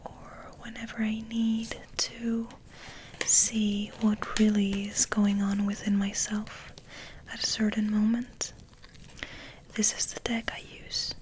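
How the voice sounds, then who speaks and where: A woman hosting ASMR, rambling in a soft, breathy, hesitant whisper. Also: medium pitch, completely flat delivery, slow pace, background noise. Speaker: ASMR video host.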